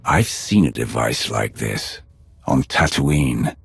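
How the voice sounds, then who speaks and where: A wary, commanding Sith warrior in a video game. The voice is rock-bottom deep and very raspy, with wide swings in pitch. He talks tersely, in a close, dry recording. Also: expressive, slow pace, dry recording, full character voice. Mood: wary, focused. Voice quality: gravelly, husky. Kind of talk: video game cutscene dialogue.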